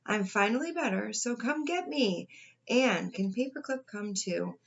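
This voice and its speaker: A woman's voice: fairly high pitch, expressive, a little slow, creaky, exaggerated voice. Woman reading as a put-upon storybook crayon, muffled sound. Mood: aggrieved, pleading. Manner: dramatic, put-upon complaining. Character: wronged, whiny maroon crayon begging to be rescued.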